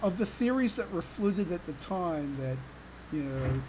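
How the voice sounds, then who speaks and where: A podcast host chatting casually in a halting way, often stammering and repeating words. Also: mid-range voice, lively intonation. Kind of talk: casual chat. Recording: clear background noise.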